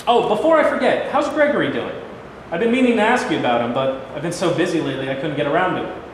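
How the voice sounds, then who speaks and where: A man's voice: on the high side, big pitch swings, fast. A man in a staged play reading, playing an American president who is burdened, commanding and wants reassurance. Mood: concerned, businesslike. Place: reverberant room at a live staged reading. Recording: very echoey noisy hall recording.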